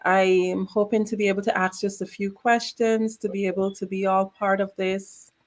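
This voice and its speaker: A woman's voice: medium pitch, level tone, moderate pace. A woman from Guyana, raised in Barbados, asking an interview guest questions with enthusiasm.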